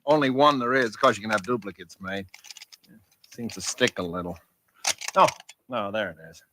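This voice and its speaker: A man's voice: fairly low pitch, lively intonation, normal speed, noisy background, close to an everyday voice. An actor playing an easygoing, folksy American real estate salesman with rambling patter, in 1940s radio drama style. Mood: rambling, salesy. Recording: old radio broadcast, music behind.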